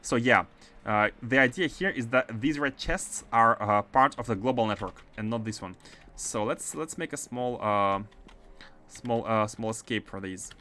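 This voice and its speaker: A man's voice: mid-range voice, moderate pace, slight background noise. A man with a mixed Russian and French accent giving casual, filler-heavy commentary while he plays a game. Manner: chatty, many fillers, bursts of excitement. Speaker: game streamer.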